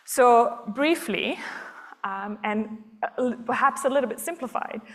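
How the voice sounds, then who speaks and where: A woman's voice: on the high side, measured pace, fairly bright tone. A woman in her thirties from Belgium giving a public science talk, explaining things step by step with frequent ums.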